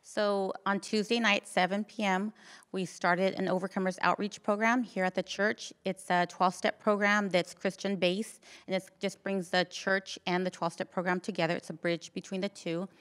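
A middle-aged woman explaining a church program casually, earnest and halting, into a handheld microphone. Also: mid-range voice, flat delivery, fairly bright tone. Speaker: church recovery program leader. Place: indoors, dim church stage.

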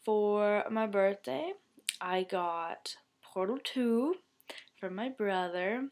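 A young woman with a stuffy nose chatting casually to her webcam in a bedroom, rambling and trailing off softly. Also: mid-range voice, slow pace. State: congested. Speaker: vlogger. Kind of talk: casual chat.

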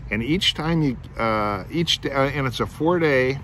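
A man's voice: mid-range voice, expressive, normal speed, a bit bright, slight background noise. A middle-aged man, filmed outdoors on a phone, explaining a class assignment in a rambling way, restarting his sentences and thinking aloud. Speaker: teacher. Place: outdoors among trees. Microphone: phone camera at arm's length.

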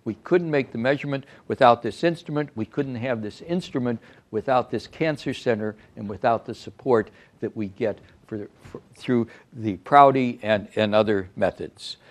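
A man's voice: medium pitch, moderate pace. An older man presenting research to an audience in a conference room, talking with many fillers and restarts. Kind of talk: scientific talk at a reception.

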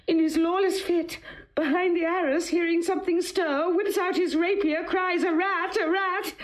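A woman's voice: very high-pitched, fairly even intonation, moderate pace. An actress playing a distraught queen and mother in a classical audio drama, declaiming in anguish. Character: distraught queen, a grieving mother of grown son. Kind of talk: classical Shakespearean radio acting.

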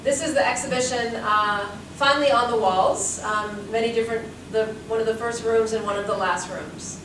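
A woman's voice: a little high, fairly even intonation, normal speed. A woman in her forties, a curator giving a talk, telling anecdotes in a chatty way and laughing often. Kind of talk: lecture with anecdotes. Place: seminar room with audience. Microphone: room mic from far off. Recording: echoey room, distant mic, background hiss.

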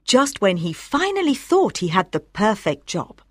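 A woman reading scripted audiobook narration, telling a story with clear articulation for language learners. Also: mid-range voice, big pitch swings, unhurried, fairly bright tone, dry recording, clean studio sound.

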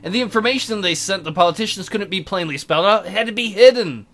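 A man's voice: high voice, animated, quick pace, fairly bright tone. A man co-hosting a let's play, acting out an enigmatic tutor's lines from a visual novel in a jokey way. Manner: jokey ad-libbing. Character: enigmatic male tutor hinting at secrets. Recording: guitar music behind.

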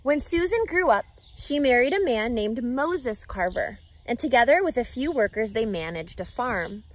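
A woman in her thirties reading a children's book aloud outdoors, with an expressive, teacherly delivery that emphasises key words. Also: fairly high pitch, moderate pace. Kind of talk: animated children's picture-book read-aloud. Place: outdoors, grassy lakeside yard. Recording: outdoor ambient background noise.

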